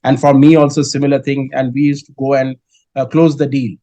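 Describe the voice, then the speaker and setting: A middle-aged man from Kolkata with an Indian English accent, answering interview questions in a measured way with many filler sounds. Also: medium pitch, little pitch movement, warm tone, no echo, highs cut off. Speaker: guest, dairy business head.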